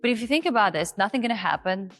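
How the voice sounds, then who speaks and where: A woman who is not a native English speaker, hosting and teaching in a chatty, animated way. She breaks off now and then to act out example voices. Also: mid-range voice, racing, faint music behind. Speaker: confidence coach and host. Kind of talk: casual on-camera teaching.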